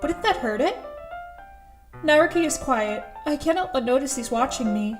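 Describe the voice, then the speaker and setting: A woman's voice: sits high, lively intonation, normal speed, over music. A woman playing an awkward, earnest teenage schoolgirl who narrates her thoughts in an audio drama, a little hesitant. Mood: observant.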